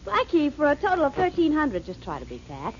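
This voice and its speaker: A woman's voice: high-pitched, wildly swooping pitch, brisk, exaggerated voice. An actress playing a playful, affectionate young woman companion in an old radio drama. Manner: bright, teasing. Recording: dull old radio broadcast with hiss.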